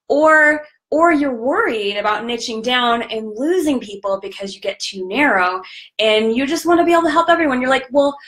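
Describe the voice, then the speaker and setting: A woman with a slightly raspy voice from a recent cold, hosting a live coaching stream in an upbeat, chatty way. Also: high-pitched, fairly bright tone. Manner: upbeat, chatty, greets viewers by name. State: recovering from a cold. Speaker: podcast host and business coach.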